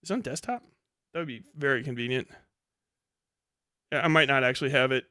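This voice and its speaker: A man in his thirties ranting casually to a livestream chat, swearing freely in a mocking, animated way. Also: mid-range voice, brisk, no room sound, studio quality.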